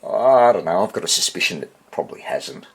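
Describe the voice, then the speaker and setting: A man with a husky voice giving a casual hands-on repair demonstration, half muttering to himself as he works. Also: sits low, very animated, brisk, a bit bright. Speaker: hobbyist radio repairer.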